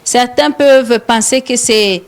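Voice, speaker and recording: Rwandan woman, radio host, slightly high voice, halting with lots of fillers. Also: clean tone. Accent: Rwandan French. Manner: hesitant, many fillers and repeated words. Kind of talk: live studio talk show.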